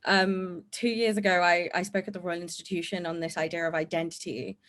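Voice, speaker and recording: A woman, a podcast guest who speaks in a candid, animated way and is quick to laugh. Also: lowish voice. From England. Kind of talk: conversational podcast discussion.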